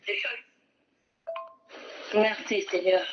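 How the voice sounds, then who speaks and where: A woman's voice: mid-range voice, fairly even intonation, takes it slowly, muffled recording, background noise. A woman preaching a sermon fervently, repeating phrases and asking listeners to repeat after her.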